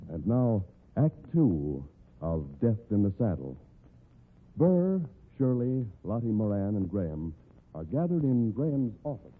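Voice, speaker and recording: Theatrical old-time radio announcer. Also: male voice, fairly low pitch, big pitch swings, measured pace. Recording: narrow-band old radio broadcast.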